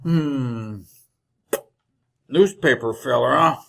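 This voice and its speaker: An actor playing a chatty, folksy older wagon driver with a rural Western American drawl in an old-time radio Western. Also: male voice, mid-range voice, drawn-out words, vocal fry, fairly bright tone, full character voice. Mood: amused, rambling. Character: garrulous leathery old frontier wagon driver, folksy and cheerful.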